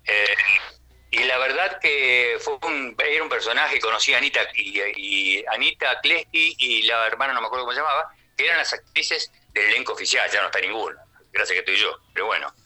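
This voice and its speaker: A man's voice: medium pitch, expressive, a little fast, pushed and bright, low-quality recording. An older man with a Rioplatense Argentine accent, speaking as a theatre actor on the radio in a chatty, rambling, conversational way with frequent question tags.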